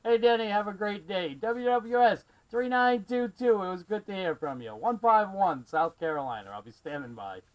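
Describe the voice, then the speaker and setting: High-voiced excitable man on CB radio. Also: normal speed, few pauses, highs cut off, slight background noise. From Massachusetts, USA. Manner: excitable, friendly, prone to raising his voice. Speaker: CB radio operator running the channel from South Carolina. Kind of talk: amateur radio contact chat with callsigns and sign-offs.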